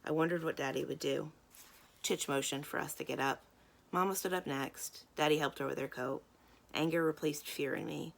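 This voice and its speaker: A woman's voice: sits low, fairly even intonation, normal speed, creaky. A woman reading a children's novel aloud as a storyteller. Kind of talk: scripted read-aloud narration of a children's novel.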